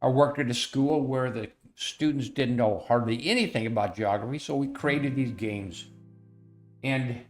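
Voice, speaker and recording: A man's voice: mid-range voice, measured pace. Earnest older man telling a folksy story. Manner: earnest, folksy, with frequent fillers and repeated phrases. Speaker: educational software founder telling a story. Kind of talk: personal storytelling to camera. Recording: faint guitar music underneath.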